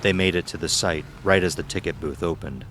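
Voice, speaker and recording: A man's voice: low-pitched, a little fast, fairly bright tone, a little hiss or hum. A man reading a scripted horror story as narrator.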